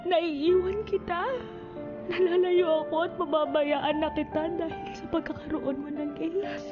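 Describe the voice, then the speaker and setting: An actress playing a devoted, long-suffering, heartbroken wife in a Filipino radio melodrama, with a Filipino accent and an earnest, emotional delivery. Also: female voice, very high voice, flat delivery, unhurried, highs cut off. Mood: hurt. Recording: music under the dialogue.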